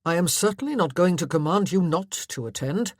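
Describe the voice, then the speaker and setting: Woman voicing a curt, husky, stubborn husband. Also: deep voice, animated, normal speed, darkish tone, dry recording, studio quality. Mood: curt, defiant. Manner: curt, clipped, formal. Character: curt, stubborn, bitterly sarcastic husband in period romance.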